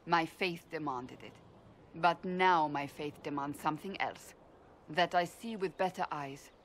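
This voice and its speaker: A woman playing a stern, devout warrior in a fantasy game, speaking formally and with measured, blunt earnestness. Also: deep voice, a little hiss or hum. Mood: earnest, troubled. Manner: formal, measured, deliberate phrasing. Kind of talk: dramatic video game dialogue.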